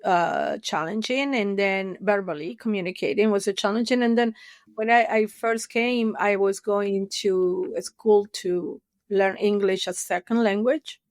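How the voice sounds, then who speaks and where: A woman's voice: medium pitch, steady tone, measured pace, no echo. A middle-aged woman from Argentina with an Argentine Spanish accent, a therapist telling her story candidly as a podcast guest. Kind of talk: casual interview storytelling.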